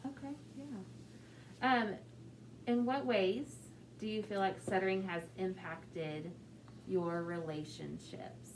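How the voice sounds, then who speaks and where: A woman's voice: mid-range voice, steady tone, takes it slowly, slight background noise, rough recording. A woman speech pathologist interviewing a guest, encouraging and giving short affirmations. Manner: encouraging, frequent short backchannels.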